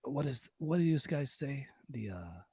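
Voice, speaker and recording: A man plays a sultry Latin lover. His voice is husky and breathy, sometimes dropping to a whisper, and it swings playfully up and down as he haltingly flirts through broken English in a close, dry podcast sound. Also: medium pitch, big pitch swings, warm tone, no room sound, exaggerated voice. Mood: playful, crude. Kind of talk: comic podcast sign-off in a put-on voice.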